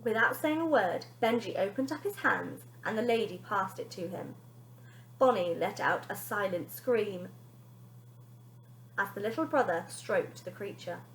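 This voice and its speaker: A woman teacher reading a children's story aloud in an expressive, dramatic storytelling style. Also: mid-range voice, unhurried, a little hiss or hum. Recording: echoey room.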